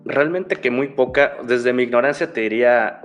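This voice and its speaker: A man's voice: medium pitch, moderate pace, a bit bright, muffled recording, soft background music. A young Mexican man with a Mexican Spanish accent, talking casually as an interview guest, rambling, restarting phrases and adding fillers. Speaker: guest, security researcher.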